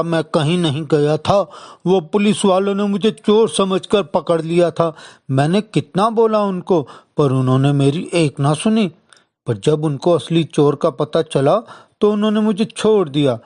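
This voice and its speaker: A man acts as a village farmer father in a children's moral tale. His voice sits a little high. He speaks with tender, earnest affection and some weariness. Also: a little slow, darkish tone, close to an everyday voice. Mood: relieved, tender. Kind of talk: children's moral story dramatization.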